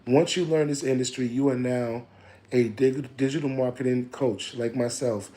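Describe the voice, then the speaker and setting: A man coaching on digital marketing in a casual, preachy live stream. He, is slightly hoarse, repeats his lines and calls listeners family. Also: medium pitch, steady tone, normal speed, dark tone.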